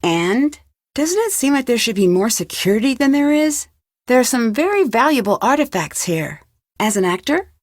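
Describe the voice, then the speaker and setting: A woman voicing a curious, determined young female detective in an adventure game, talking to herself in clear, purposeful scripted lines. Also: on the high side, big pitch swings, normal speed, no room sound, clean studio sound. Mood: conversational, probing. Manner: clear self-talk, inquisitive and purposeful. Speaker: game protagonist.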